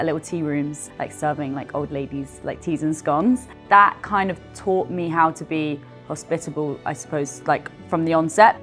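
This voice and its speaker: A young woman who works as a bar manager, talking casually and informally in a documentary interview, often saying "like". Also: sits low. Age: young adult. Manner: chatty, informal, peppered with "like". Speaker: bar assistant general manager.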